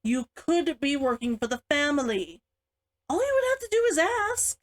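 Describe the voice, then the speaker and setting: Woman acting exasperated elder sister, dutiful, scolding, high animated voice, storybook dialogue. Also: normal speed. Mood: weary. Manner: growling, muttering, scolding.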